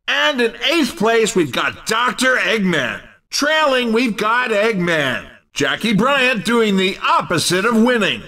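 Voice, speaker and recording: A man's voice: high-pitched, sharp tone, full character voice. A man playing a brash, playfully teasing video game race commentator, with punchy, theatrical announcer delivery and a slightly distant sound.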